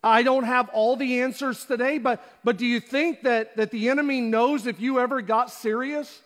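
High-voiced preaching pastor in a reverberant hall. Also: male voice, fairly even intonation, normal speed, a bit bright. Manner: preaching with rhetorical questions and acted-out quotes. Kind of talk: sermon. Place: church auditorium.

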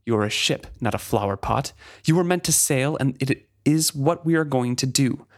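A man's voice: mid-range voice, normal speed, natural voice. A man voicing a fierce, exasperated sea captain in a fantasy storybook, with commanding, emphatic delivery and swearing. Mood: angry, commanding. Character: fierce exasperated ship captain berating his stubborn living ship. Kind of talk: dramatic reading from a fantasy novel.

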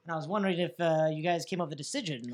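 A woman playing an eager, polite, halting young fellow in an improvised comedy radio play. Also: low voice, darkish tone, natural voice.

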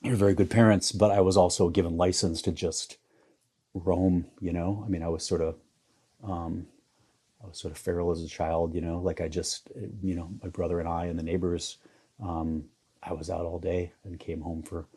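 A man in his forties with a low, dark and mellow voice. He talks in a flat, even way, halting and restarting with lots of fillers as he thinks aloud in an interview. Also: husky. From Iowa, US Midwest. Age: 40s. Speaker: photographer guest. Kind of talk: reflective interview conversation.

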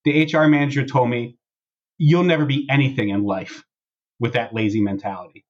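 A man with a husky voice hosting a casual podcast, chatting and reading posts aloud in a wry, sarcastic way. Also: mid-range voice, a little fast, dull audio. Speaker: podcast host.

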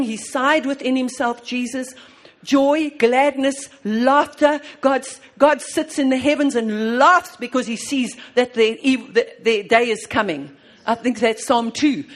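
A woman preaching a sermon on prayer, fervent and full of repeated praise, swinging between soft and loud. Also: sits high.

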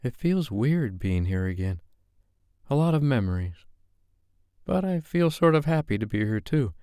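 Gentle woman survivor, voiced by a man, lifted pitch. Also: sing-song, moderate pace, smooth, no room sound, clean studio sound, close to an everyday voice. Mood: tentative, relieved. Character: gentle woman survivor, tentative but resilient.

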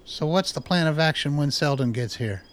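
An actor playing a weary, anxious research scientist in a radio drama, dry and conversational. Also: male voice, fairly high pitch, animated, normal speed, lightly acted. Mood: dry, wry.

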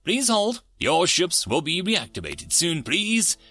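A man's voice: high-pitched, wildly swooping pitch, takes it slowly, sharp tone, slightly rough, no room sound, faint music behind, voice pushed up, heavily acted. A man voicing an automated hold-message system in a sci-fi story, impersonal and courteous with a scripted customer-service cadence. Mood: bland courtesy.

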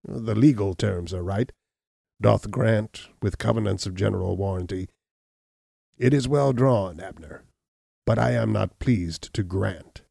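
A scornful hunchbacked landowner from a storybook, as a male narrator plays him. He sounds boastful and defiant, with a low, gravelly voice and a dark tone. His mockery is cruel and blasphemous. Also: a little slow, no room sound, studio quality, heavily acted. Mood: coolly mocking.

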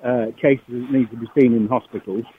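A man with a Yorkshire accent calling a radio show, speaking in a measured, hesitant way with many fillers. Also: mid-range voice, steady tone, husky. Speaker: radio caller. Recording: tinny phone line.

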